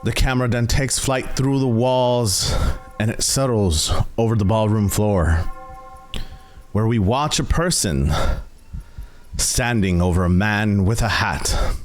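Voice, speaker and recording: A man working as game master, narrating a tabletop game in a theatrical, suspenseful, cinematic style with deliberate pauses. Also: lowish voice, faint music behind.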